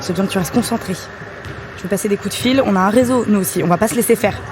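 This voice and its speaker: A woman's voice: medium pitch, rapid-fire, background noise, natural voice. A woman playing a determined, direct athletics coach in a French audio drama.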